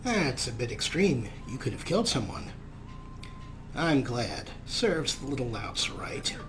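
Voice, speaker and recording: A man's voice: mid-range voice, very animated, a little slow. A man hosting a game playthrough, reading dialogue choices aloud and adding reflective, explanatory commentary. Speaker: let's play host. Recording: game music behind the voice.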